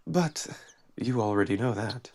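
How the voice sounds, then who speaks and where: A man voicing a stern, guarded she-wolf noblewoman with a measured, cutting, skeptical manner. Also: on the low side, expressive, a little mellow, slight background noise. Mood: guarded, steady.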